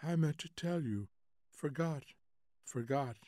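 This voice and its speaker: A man voicing a vague, drowsy wife in a story reading, soft and faint, hesitant and faltering with trailing repetitions. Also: medium pitch, lively intonation, a little slow, dry recording, studio quality. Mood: detached, forgetful. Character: vague, drowsy, detached wife, forgetful and indifferent.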